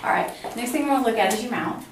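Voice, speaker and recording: A very reverberant, distant recording of a woman conducting a clinical examination. She gives step-by-step instructions in a polite, reassuring way, over clear background noise. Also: a little high, very fast. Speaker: examiner performing a physical assessment. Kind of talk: clinical exam talk to a patient, explaining each step.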